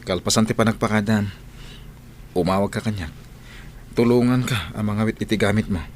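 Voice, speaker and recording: A man's voice: on the low side, fairly even intonation, normal speed, a little hiss or hum, lightly acted. An actor playing a dutiful young man in a radio drama, earnest and placating in manner. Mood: placating, reassuring. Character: dutiful young man, obedient to his mother.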